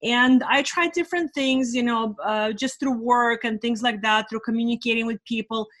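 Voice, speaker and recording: A woman's voice: a little high, fairly even intonation, moderate pace, a bit bright. A woman in her forties, originally from Russia, answering interview questions about her career in an earnest, reflective way with many fillers. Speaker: guest, life and business strategist.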